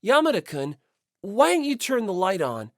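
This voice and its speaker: A woman's voice: medium pitch, sing-song, moderate pace, darkish tone, dry recording, studio quality. A woman voicing an earnest, polite teenage schoolboy for an audiobook, questioning and a little hesitant. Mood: puzzled, hesitant. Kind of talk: audiobook character acting.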